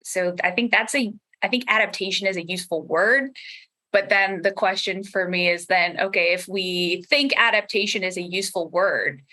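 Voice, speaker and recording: A woman's voice: medium pitch, moderate pace, bright, forceful tone. A woman podcast creator and scholar speaking casually and analytically in a panel discussion, working through her ideas. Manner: thoughtful, analytical, hedging with frequent "like".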